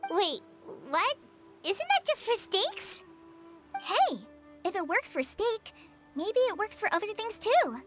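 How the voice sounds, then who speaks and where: A woman voicing a small, excitable childlike fairy companion with a squeaky voice in a video game. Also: takes it slowly, over music, exaggerated voice. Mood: cheerful, eager. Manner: refers to self in third person, expressive. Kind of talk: animated video game voice acting.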